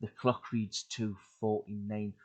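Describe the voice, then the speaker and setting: A man giving a dramatic reading of a horror story into a home desk mic, swinging from loud urgency to hushed, breathy lows. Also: level tone, unhurried. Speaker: YouTube creepypasta reader.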